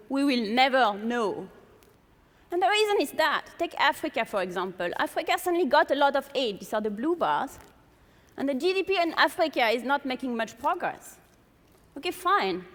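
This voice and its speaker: A woman's voice: high voice, a bit bright. A woman from France with a strong French accent, giving a public lecture to an audience in a large hall and posing many rhetorical questions. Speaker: speaker giving a talk to an audience. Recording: long hall reverb.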